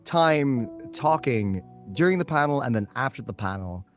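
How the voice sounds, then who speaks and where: A man's voice: medium pitch, animated, moderate pace, faint music behind. A man speaking casually and emphatically as a voice actor being interviewed.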